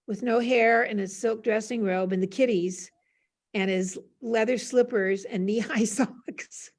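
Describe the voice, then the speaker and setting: A woman's voice: mid-range voice, steady tone, measured pace. An older woman speaking casually and playfully as she shares anecdotes on a panel, with a chatty, self-deprecating manner. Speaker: panel guest, university professor. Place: classroom. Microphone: video call.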